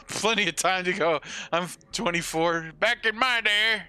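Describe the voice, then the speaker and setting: A man's voice: sits high, measured pace, bright, forceful tone, clear, resonant tone. A man in his forties chatting casually and rambling with some salty swearing as he streams a game. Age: 40s. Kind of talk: casual live-stream chat with gameplay commentary.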